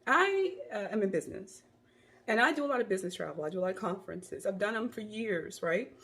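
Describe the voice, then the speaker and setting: A woman with a cold and a hoarse voice, giving chatty, rambling opinion commentary into her phone. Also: mid-range voice, expressive, fast, a bit bright. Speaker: commentary vlogger.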